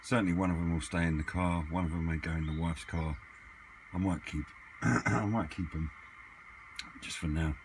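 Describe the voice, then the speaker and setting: A man's voice: deep voice, fairly even intonation, moderate pace. A man chatting casually and off the cuff, hesitant as he thinks aloud in a hobby vlog. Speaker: hobbyist vlogger. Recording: clearly noisy amateur camera recording.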